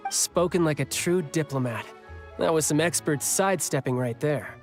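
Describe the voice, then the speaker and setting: A man's voice: a little high, normal speed, close to an everyday voice. A young man voicing a breezy, battle-hungry warrior in a video game who is still recovering from a fight. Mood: amused. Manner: breezy and casual, with coughs. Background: game music.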